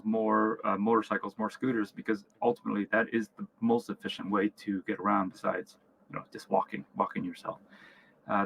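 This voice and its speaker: Bright-voiced man answering interview questions haltingly. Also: fairly low pitch, slight background noise, low-quality recording. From Vietnam and upstate New York. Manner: halting, repeats words, often checks in with "right?". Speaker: company founder and chief executive, interview guest.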